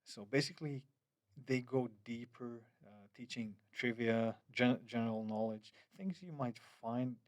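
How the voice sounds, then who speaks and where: Man, mid-pitched, hesitant app walkthrough, dry booth-like sound. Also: measured pace, no room sound. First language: Finnish. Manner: hesitant, many fillers and restarts, loose phrasing. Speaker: app developer. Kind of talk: screen-recorded app demo.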